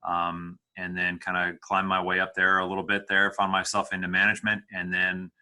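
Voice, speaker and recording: A middle-aged man from the Midwest, answering interview questions as a charity director in a measured, hesitant way with many fillers. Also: low voice, monotone, bright, forceful tone. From Midwest United States. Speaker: executive director of a homeless services charity.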